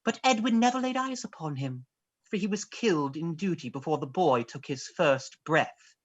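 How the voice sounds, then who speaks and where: Woman cast as disinherited schemer, male, for a play reading: formal and insinuating, lowish female register with very lively inflection. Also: normal speed, breathy edge, lo-fi sound. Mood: bitter. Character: bitter, scheming disinherited male heir, coldly blunt. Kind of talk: dramatized stage play script reading.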